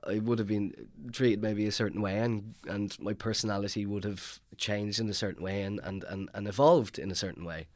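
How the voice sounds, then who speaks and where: A man's voice: lowish voice, fairly even intonation, fairly quick, few pauses, a little mellow, dry recording, clean studio sound. A man in his thirties with a rural Irish accent, chatting casually and rambling with lots of fillers. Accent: Irish, rural Longford. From Ireland. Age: 30s. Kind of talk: casual chat.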